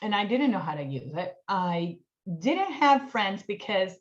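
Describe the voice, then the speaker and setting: A woman in her forties from Colombia with a Colombian accent, a guest telling her story in an animated, self-mocking way over a video call. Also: medium pitch, unhurried, muffled recording. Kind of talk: storytelling in a casual online chat.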